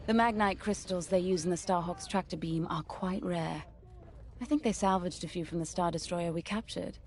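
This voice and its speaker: An actress playing a refined, earnest rebel starfighter pilot in a video game, speaking politely and articulately. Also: female voice, fairly low pitch, lightly acted. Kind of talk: video game character dialogue. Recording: clear music behind the voice.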